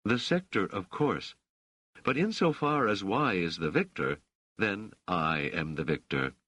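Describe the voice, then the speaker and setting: A man acts out a self-assured woman ruler of middle age in a novel reading. The pitch is lifted well above a usual male voice and moves expressively. The manner is gracious, poised and used to command. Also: lively intonation, fairly bright tone, husky, dry recording, heavily acted. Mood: proud, assured. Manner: poised, formal, measured phrasing.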